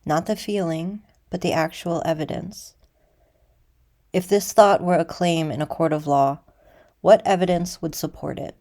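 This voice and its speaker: A woman teaching a guided meditation in a measured, deliberate way, leaving pauses between phrases. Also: lowish voice, fairly even intonation, dark tone.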